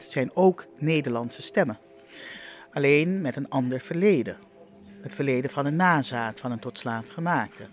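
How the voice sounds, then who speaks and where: A man speaking reflectively in an interview, in a deliberate, halting manner. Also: on the high side.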